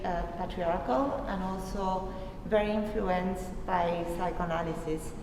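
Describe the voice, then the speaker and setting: A woman from Argentina presenting her art at a gallery talk, thoughtful and pausing often to search for words. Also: mid-range voice. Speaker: artist presenting her work. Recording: echoey hall recorded from far off, noisy.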